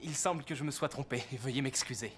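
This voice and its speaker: A man playing a polite, earnest, smitten young gentleman in a French animated film dub. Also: mid-range voice, fast, a little hiss or hum, natural voice. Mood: courteous. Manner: courteous, earnest.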